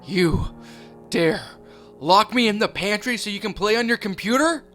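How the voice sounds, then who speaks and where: A man voicing a furious, unhinged cartoon mother with a shrill, shrieking voice. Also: moderate pace, pushed and bright, faint music behind. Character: enraged unhinged mother, screeching and violent.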